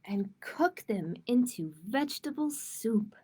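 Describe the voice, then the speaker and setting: A woman's voice: fairly high pitch, animated, slow pace, warm tone, breathy edge. A woman hosting a storytime for young children, reading picture books aloud expressively with informal asides to the viewers. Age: adult. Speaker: children's library storytime host. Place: live-streamed online storytime.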